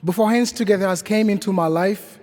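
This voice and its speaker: Young man from Haiti, high voice, slow deliberate testimony, church reverb. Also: a little mellow. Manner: slow, deliberate, repeating phrases. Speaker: guest speaker at Mass. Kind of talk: personal testimony to a congregation.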